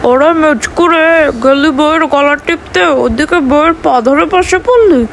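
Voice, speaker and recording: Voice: high-pitched, moderate pace, a bit bright, a little hiss or hum. A performer voicing a mocking, teasing onlooker in a comic cartoon.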